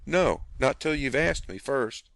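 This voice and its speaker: A man's voice: mid-range voice, expressive, measured pace, creaky, fairly bright tone, no echo, pitched above the performer's own voice. A man voicing a mischievous teenage boy who talks in a mock-humble, wheedling way.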